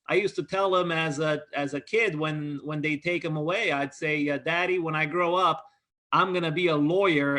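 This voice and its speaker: A man in his forties from Romania, speaking as an interview guest in an earnest, halting way with many fillers. His voice is bright and slightly high for a man. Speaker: guest lawyer. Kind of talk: interview answers.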